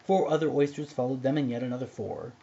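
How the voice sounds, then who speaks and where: A man reading a narrative poem aloud as an expressive amateur storyteller. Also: mid-range voice, fast, lo-fi sound, a little hiss or hum. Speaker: amateur poetry reader.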